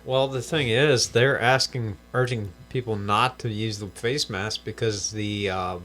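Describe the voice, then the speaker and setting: A man's voice: lowish voice, steady tone, measured pace, fairly bright tone. A man co-hosting a casual chat, who laughs often and adds short dry remarks. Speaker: co-host.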